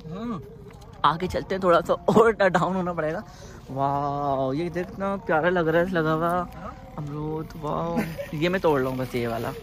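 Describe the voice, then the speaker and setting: A man's voice: on the high side, moderate pace, fairly bright tone. A young man vlogging outdoors in an orchard, casual and enthusiastic, mixing in English words. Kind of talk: casual travel vlog.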